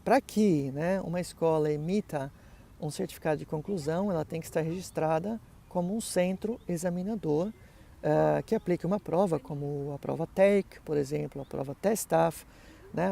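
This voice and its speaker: A man's voice: on the high side, creaky, dark tone. A middle-aged man teaching German, explaining how his online courses work in a conversational way, full of fillers and tag questions. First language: Portuguese.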